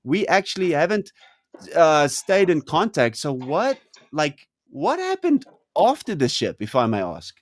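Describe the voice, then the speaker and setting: A man from South Africa hosting a casual video-call conversation, talking in a halting way with many fillers and restarts. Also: on the high side, huge pitch swings. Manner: hesitant, lots of ums and restarts. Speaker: host.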